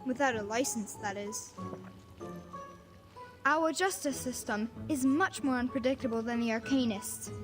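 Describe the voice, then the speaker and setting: Brash, chatty street kid, voiced by a woman; high-pitched, with game score behind. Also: unhurried, pauses often, lightly acted. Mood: sly. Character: cheeky street-smart kid, talkative. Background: game music.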